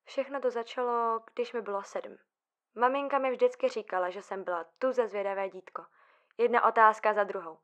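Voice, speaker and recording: A woman acts out a distressed young female patient in a radio play. Her voice sits fairly high and she speaks with earnest insistence, as someone long shut away and tormented by her own questions. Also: fairly bright tone, dull audio, natural voice. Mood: wistful, recalling. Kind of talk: dramatic audio drama monologue and dialogue.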